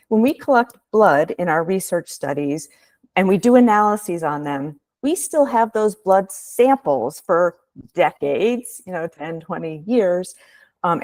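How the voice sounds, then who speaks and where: A woman researcher giving a measured, explanatory webinar presentation over a video call. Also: fairly low pitch, a little mellow, dry recording.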